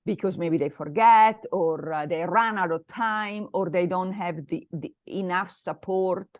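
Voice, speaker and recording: A woman with an Italian accent speaks in a fairly low voice, recorded close and dry. She talks conversationally as an interview guest, pausing and repeating phrases as she explains things. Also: dry recording. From Italy. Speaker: interview guest, business mastermind coach.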